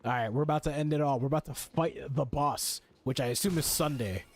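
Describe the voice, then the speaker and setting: A man's voice: fairly high pitch, brisk. Blunt man casually commenting on a game. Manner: blunt, profane, incredulous. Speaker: game streamer. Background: faint game music.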